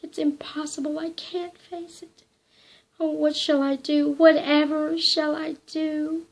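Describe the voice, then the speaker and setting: A woman's voice: sits high, measured pace, a little mellow, breathy edge, pitched above the performer's own voice, full character voice, rough recording. A frightened young woman begs and trembles in a raised, breathless voice, now and then falling to a whisper. She sounds timid and close to tears, as a woman reading the story acts her out. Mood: terrified pleading.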